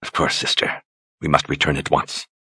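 A man's voice: level tone, breathy edge, no room sound, clean studio sound, full character voice. A man doing an icy, menacing sorceress. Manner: slow, quiet, low hissing menace. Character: icy, aloof woman sorceress, cold and menacing.

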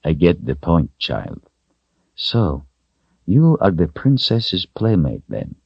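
A man acts out an elderly, kindly wizard in a fantasy storybook. The voice is low and slightly husky, soft-spoken and unhurried, with a swooping, reassuring gentleness. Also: huge pitch swings, no room sound, lo-fi sound, full character voice. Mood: gentle, amused. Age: older.

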